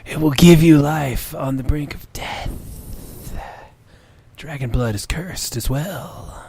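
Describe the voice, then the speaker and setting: A man voicing a solemn, wise griffin with a slow, portentous, whispery delivery in a mock-dramatic story reading. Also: on the high side, a little mellow, exaggerated voice. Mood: solemn, ominous. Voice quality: breathy, whispery. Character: solemn, wise griffin creature telling dark lore.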